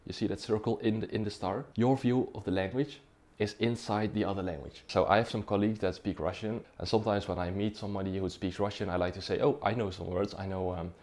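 A young Dutch-speaking man talks straight to the camera in a small, dim room, explaining things in a relaxed, chatty way. His voice sits fairly low and sounds soft and mellow, and he keeps checking in with the listener. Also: fast talker. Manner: conversational, checks in with "right?" and "okay?". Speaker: language-learning YouTuber.

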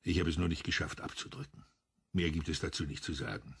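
An actor playing an embittered, unyielding police officer in a crime radio drama, speaking in curt, stern declarations. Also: male voice, a bass voice, quick pace, gravelly, music in the background. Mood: cold, resolute.

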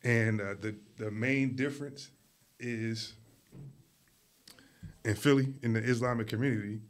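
A man raised in Philadelphia, whose first language was Arabic, talking casually as a podcast guest in a school studio, telling his story in a relaxed way with many fillers. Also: medium pitch, unhurried. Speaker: guest, artist and art teacher.

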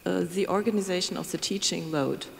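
A woman's voice: lowish voice, fairly quick, vocal fry, darkish tone. A woman in her fifties speaking as a panelist in a discussion, into a handheld microphone. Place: large conference room with audience. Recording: echoey hall with faint room noise.

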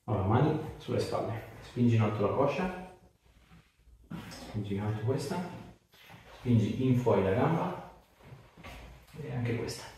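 A man's voice: medium pitch, expressive, unhurried, long pauses, dark tone. A man, giving short, direct instructions and questions as a chiropractor treating a patient. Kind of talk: hands-on clinical instructions and casual chat. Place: small bare treatment room, indoors. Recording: roomy, distant mic, faint noise, clicks.

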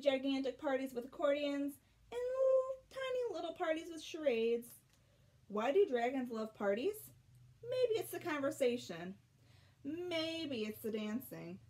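A young woman with a fairly high voice reads a picture book to children. She is expressive, stretching key words and pausing for dramatic effect. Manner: expressive, drawn-out emphasis with dramatic pauses. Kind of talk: animated children's picture-book read-aloud. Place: small bedroom at home.

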